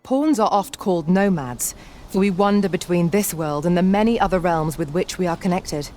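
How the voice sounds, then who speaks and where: A woman's voice: on the low side, moderate pace, darkish tone, natural voice. A woman playing a loyal, courteous pawn companion in a fantasy game, speaking in a formal, archaic, dutiful way. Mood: earnest, dutiful.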